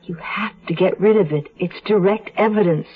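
An actress playing a devoted, world-weary wife on the run, earnest and melancholy, in a 1970s radio drama. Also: female voice, mid-range voice, normal speed, phone-quality sound, close to an everyday voice. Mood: pleading, tender. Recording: thin-band 1970s radio recording with music.

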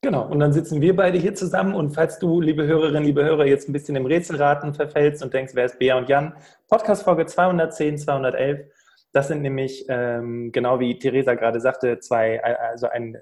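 A man's voice: a little high, fairly even intonation, a little fast, darkish tone. A male podcast host who interviews a guest in a friendly way, with fillers and restarts.

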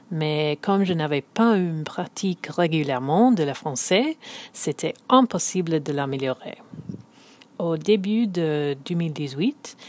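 A woman from South Africa, a native English speaker, reads a message she has prepared in advance. Her voice sits a little low for a woman. She speaks carefully, like a learner choosing each word. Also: a little mellow. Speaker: listener sending a message.

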